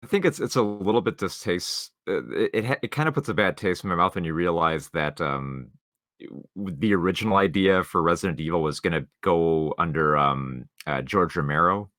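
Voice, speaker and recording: A man co-hosting a podcast, discussing films casually in a rambling, halting way with the odd swear word. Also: deep voice, a bit bright, dry recording, clean studio sound. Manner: rambling, halting, informal with profanity. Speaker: podcast co-host.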